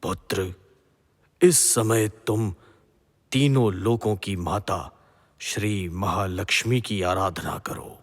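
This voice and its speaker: Man acting deep, slow, husky sage. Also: heavily acted. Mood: solemn, encouraging. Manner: solemn, measured, authoritative blessing. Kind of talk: devotional mythological audio drama acting. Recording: long reverberant hall echo.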